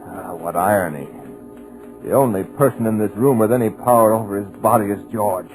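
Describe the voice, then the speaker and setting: A man's voice: on the low side, fairly quick, lightly acted, low-quality recording. A man playing a hypnotized male writer in an old radio drama, narrating his own helpless terror in urgent bursts. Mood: desperate. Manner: breathless, urgent inner narration. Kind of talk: 1940s radio drama acting. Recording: old radio recording with music behind.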